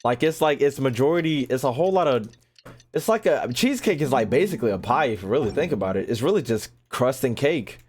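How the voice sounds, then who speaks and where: A man's voice: mid-range voice, lively intonation, fast, darkish tone, slightly rough. An American man giving casual livestream game commentary, joking and swearing in loose slang. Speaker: game streamer. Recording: game music behind the voice. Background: video game music and dialogue.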